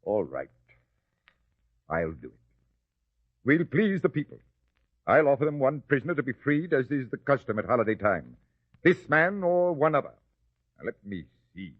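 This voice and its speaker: A haughty, uneasy Roman governor. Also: male voice, mid-range voice, big pitch swings, moderate pace, pauses often, no echo. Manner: haughty and condescending, then hesitant. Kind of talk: old-time radio drama acting. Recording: dry, dull lo-fi recording.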